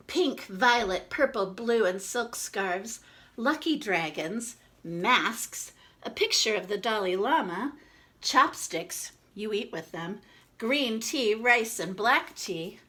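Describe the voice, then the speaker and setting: Woman reading a kids picture book, takes it slow, really up and down, chatty asides about the pics. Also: medium pitch, sharp tone. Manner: informal, pausing to point out illustrations. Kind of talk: expressive picture-book read-aloud with casual asides.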